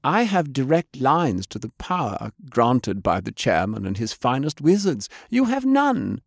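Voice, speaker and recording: A menacing female ninja assassin in an action audiobook, performed by a man. The voice sits higher than his own, haughty and formal, with cold condescension. The recording is dry. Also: big pitch swings, a little slow, gravelly, no echo, exaggerated voice. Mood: haughty, hissing. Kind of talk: audiobook character acting.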